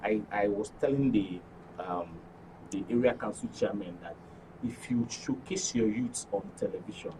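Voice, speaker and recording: A man's voice: fairly low pitch, moderate pace. A man with a Nigerian accent gives unscripted interview answers, explaining earnestly in long, winding sentences. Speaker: studio guest, actors' guild official. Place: radio and TV studio. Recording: distant microphone with clear background noise.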